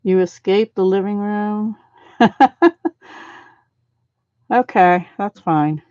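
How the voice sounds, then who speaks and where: A middle-aged woman hosting a live card-making stream, talking softly and thinking aloud as she works and chats with viewers. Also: medium pitch, very slow, no echo. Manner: soft-spoken, thinks aloud while working. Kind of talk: casual live craft demo, chatting with viewers. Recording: dry, slightly dull home stream audio.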